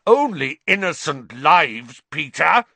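A man's voice: medium pitch, wildly swooping pitch, a little slow, bright, forceful tone, no echo, pitched above the performer's own voice, heavily acted. A man playing a vengeful, furious man who snarls and roars bitter accusations in a storybook. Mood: bitter fury. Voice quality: harsh, snarling, roaring. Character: furious, vengeful grown man confronting a betrayer.

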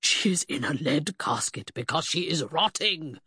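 A woman's voice: sits low, expressive, slow pace, husky, no echo, clean studio sound, exaggerated voice. A woman voicing an anguished, weary husband in an audiobook, pleading and insistent with exasperation. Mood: anguished, exasperated. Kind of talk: audiobook character acting.

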